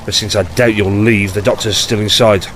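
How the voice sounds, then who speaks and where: A man acting as a gruff, blunt, easily flustered police inspector in an audio drama. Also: on the low side, steady tone, fairly quick, a bit bright, slight background noise, natural voice. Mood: exasperated.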